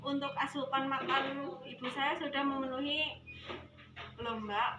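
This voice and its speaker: A woman's voice: sits high, fairly even intonation, unhurried, lo-fi sound. Woman acting a puzzled, polite young mother, echoey recording. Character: polite, puzzled 29-year-old working mother. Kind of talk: rehearsed role-play dialogue. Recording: echoey room with clear background noise.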